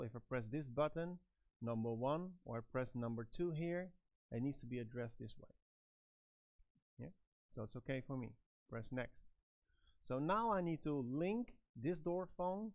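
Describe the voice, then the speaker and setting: A middle-aged man giving step-by-step product instructions in a halting, phrase-by-phrase manner. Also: mid-range voice, animated, no room sound, narrow, tinny audio. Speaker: product trainer and presenter.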